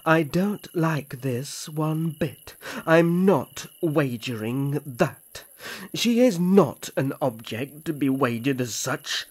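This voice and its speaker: A man's voice: fairly high pitch, expressive, slow, slightly rough, full character voice. A man voicing a protective, serious young elf prince who speaks in a formal, guarded way, in a fantasy audio roleplay. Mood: indignant.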